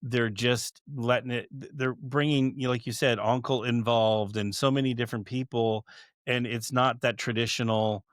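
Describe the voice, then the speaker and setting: A man's voice: medium pitch, steady tone, normal speed, dry recording, clean studio sound. Rambling middle-aged podcast host man. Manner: rambling, stammers, lots of fillers. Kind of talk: casual interview chat.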